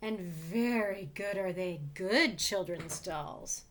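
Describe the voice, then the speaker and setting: A woman's voice: mid-range voice, slow pace, voice pushed down, close to an everyday voice. A woman voicing an odd, greedy storybook creature, fierce and gleeful, boastful and smug in the way it insists.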